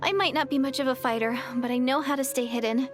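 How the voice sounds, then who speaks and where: A woman's voice: high voice, quick pace, a little hiss or hum, natural voice. A woman playing an earnest young female character in a video game. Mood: subdued, reassuring.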